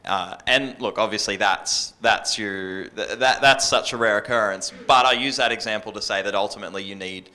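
A man's voice: lowish voice, moderate pace, hardly any pauses, sharp tone. An Australian man in his thirties speaking casually on a panel into a handheld mic. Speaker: radio presenter on a panel. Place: indoor workshop panel before a small audience. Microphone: handheld microphone.

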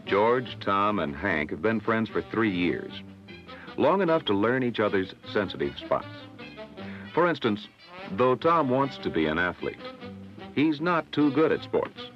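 An American man narrating a scripted 1960s educational film in a measured, instructive manner. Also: lowish voice, sing-song, faint music behind. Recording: dull, lo-fi old film soundtrack.

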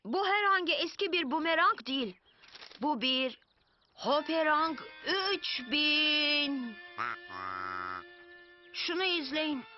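A woman voicing an eager, excitable young boy in a dubbed children's cartoon. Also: high-pitched, slow pace, pauses often. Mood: excited. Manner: eager, exclamatory. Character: excited young boy character with a new boomerang toy. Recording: dull lo-fi audio with loud music.

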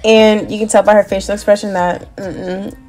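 A woman's voice: mid-range voice, little pitch movement, normal speed, darkish tone, low-quality recording. A young adult woman vlogger casually narrating a workout. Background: faint music.

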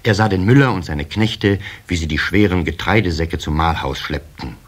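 A man narrating a children's radio play in a measured storyteller's delivery. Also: deep voice, slightly rough. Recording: slightly hissy old cassette recording.

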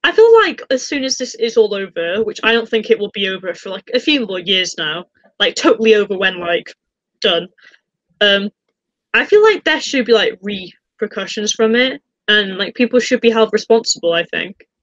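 A woman's voice: mid-range voice, expressive, normal speed, fairly bright tone, no room sound, muffled recording. A young woman chatting casually and giving opinions in a rambling, informal way, often repeating phrases. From northern Britain. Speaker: podcast co-host.

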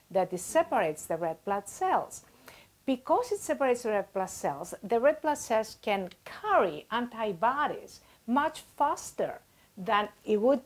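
A woman in her sixties explaining as a guest expert in an interview, animated and gesturing, using everyday comparisons, on a lapel mic in a small office. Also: mid-range voice. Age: 60s.

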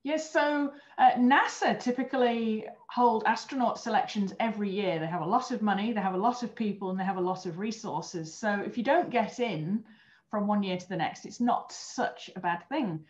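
A woman's voice: mid-range voice, moderate pace, darkish tone. European woman on a roomy, muffled video call. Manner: earnest, explanatory, with small restarts. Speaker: guest, former astronaut trainer. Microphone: webcam on video call.

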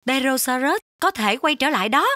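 Bright, helpful cartoon dinosaur. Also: female voice, high voice, expressive, a little fast, no room sound, studio quality. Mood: cautioning. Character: helpful, cautious long-necked dinosaur. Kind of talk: children's cartoon dubbing.

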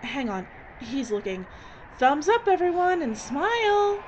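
A woman acting a brisk, sardonic, no-nonsense film director in an audio drama. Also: high-pitched, very animated. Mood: wry, suspicious. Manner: bossy, wry. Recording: dull, slightly noisy recording.

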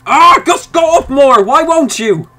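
A man's voice: very high voice, lively intonation, a little slow, sharp tone. A man giving let's-play commentary, chatting to himself while he works out puzzles and snapping at the game in mock frustration. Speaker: gaming YouTuber.

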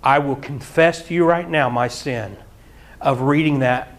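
A middle-aged man preaching a sermon in a church hall, animated and often breaking into mock singing and laughter. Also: medium pitch, measured pace. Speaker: pastor. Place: church hall with projector screen.

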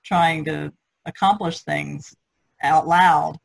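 A woman's voice: sits low, fairly even intonation, takes it slowly, creaky. An older woman chatting casually as a participant in an artists' group on an online call. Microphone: online video conference call.

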